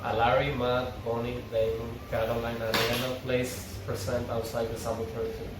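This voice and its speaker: A man's voice: mid-range voice, completely flat delivery, fairly quick, very noisy. A man reading a TV script aloud, narrating stage directions with informal asides. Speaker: table read narrator and reader. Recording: very echoey room, distant, music behind.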